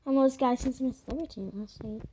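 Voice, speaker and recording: A woman chatting loosely while playing a game, her voice croaky, full of fillers and trailing murmurs. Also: a little high, fast, very noisy. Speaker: gamer. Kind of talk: casual gameplay commentary.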